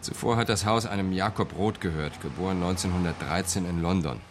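Deep-voiced, factual actor as a police captain. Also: male voice, brisk, a little hiss or hum, natural voice. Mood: factual briefing. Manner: factual, orderly, composed. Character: matter-of-fact police captain briefing a subordinate. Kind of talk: radio crime drama acting.

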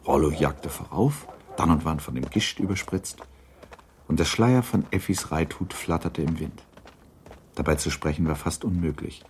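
Deep-voiced male radio-drama narrator. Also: moderate pace, a little hiss or hum.

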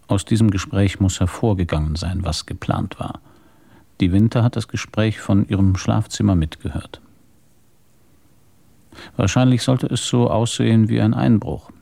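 An actor playing a calm, methodical police inspector who reasons matter-of-factly in a German radio crime drama. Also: male voice, on the low side, unhurried, vocal fry, pauses often. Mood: explaining, assured.

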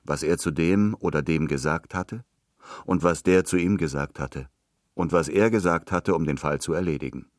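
Low-voiced man narrating literary fiction. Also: normal speed, no room sound, clean studio sound.

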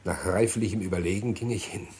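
A man's voice: deep voice, a little mellow, a little hiss or hum, lightly acted. An actor playing a haughty, guarded murderer who tells his own story in a vintage radio play. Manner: measured, haughty, hesitant.

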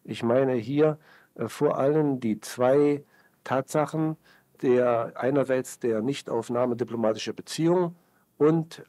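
A man as an earnest travel agency employee, giving a deliberate, formal statement in a radio interview. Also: mid-range voice, warm tone, no room sound, natural voice.

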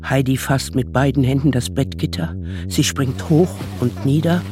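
A man narrating a radio play as storyteller of a child's magical world, with measured, dramatic phrasing. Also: mid-range voice, big pitch swings, over music, close to an everyday voice. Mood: storytelling.

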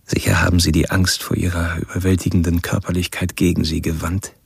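An actor playing a cold, obsessive serial killer who confides his fantasies slowly and deliberately in a radio drama monologue. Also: low voice, level tone, gravelly, slightly rough, no room sound, heavily acted. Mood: dreamy, menacing. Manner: slow, deliberate, self-absorbed confiding. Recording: voice over background music.

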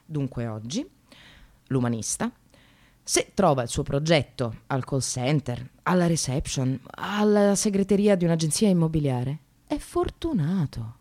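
Radio monologue: sardonic female temp worker, slightly low register, very animated intonation. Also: a little slow, exaggerated voice. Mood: bitter, reflective. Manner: sardonic, expressive, swearing, addressing the audience. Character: bitter, wry, precariously employed female receptionist, educated.

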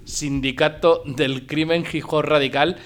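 A man's voice: fairly high pitch, steady tone, a little slow. A man with a Spanish accent from Spain hosting a radio show, chatting informally and drifting into asides as he presents music. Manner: informal, digressive. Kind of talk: casual chat, presenting music.

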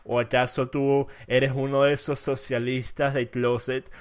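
A man's voice: medium pitch, flat delivery, a little slow, clear, resonant tone. A man from Venezuela hosting a debate, arguing assertively and emphatically. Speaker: debate host. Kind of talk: casual debate.

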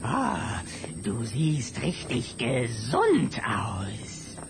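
An actor playing a menacing, unpredictable teenage vampire boy in a radio play, gloating and slyly flattering with drawn-out words. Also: mid-range voice, vocal fry, noisy background, exaggerated voice.

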